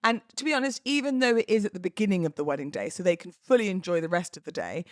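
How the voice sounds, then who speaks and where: A woman's voice: lowish voice, animated, fast, dry recording. Chatty, theatrical British woman, dry close sound. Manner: theatrical, playful delivery. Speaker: podcast host and wedding celebrant. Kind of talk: chatty solo podcast talk.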